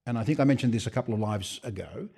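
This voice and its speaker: A man's voice: lowish voice, very fast, creaky, warm tone, breathy edge. An Australian man who runs a shop, hosting a casual livestream Q&A. He rambles and drops joking, conspiratorial asides. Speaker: shop owner hosting a live Q&A.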